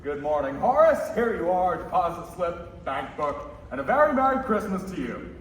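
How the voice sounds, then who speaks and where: An actor playing a bumbling, good-natured old uncle with a flustered delivery in a stage radio play. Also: male voice, high voice, sing-song, normal speed. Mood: jolly teasing. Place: high school theater stage. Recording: echoey distant stage recording, faint noise.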